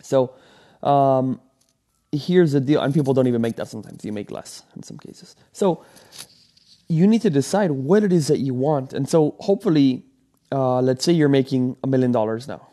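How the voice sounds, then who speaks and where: A man's voice: mid-range voice, moderate pace, dark tone. A man in his thirties from Venezuela, hosting a solo podcast and giving earnest advice in a rambling way, full of ums and restarts. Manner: rambling, filler-heavy, earnest coaching. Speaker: podcast host. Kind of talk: solo motivational podcast talk.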